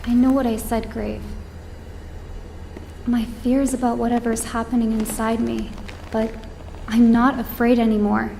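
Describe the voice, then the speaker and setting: A woman's voice: a little high, little pitch movement, measured pace, vocal fry, pauses often, warm tone. An actress playing a determined, protective woman with a dangerous power inside her, speaking earnestly in a video game cutscene. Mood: earnest, reassuring. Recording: long reverb with faint background noise.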